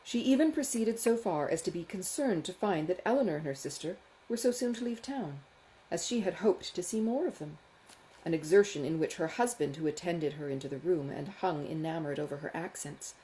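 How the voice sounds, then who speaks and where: A woman reading a classic novel as an audiobook, expressive and carefully phrased in a measured literary manner. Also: on the low side, low-quality recording. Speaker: audiobook narrator, author.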